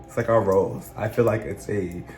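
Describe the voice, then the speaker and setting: A man talking in a casual, earnest monologue, his voice still rough from being sick, often circling back and restarting his phrases. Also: lowish voice, steady tone, fairly quick, darkish tone, roomy sound, faint music behind. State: recovering from illness, voice still rough. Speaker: channel host.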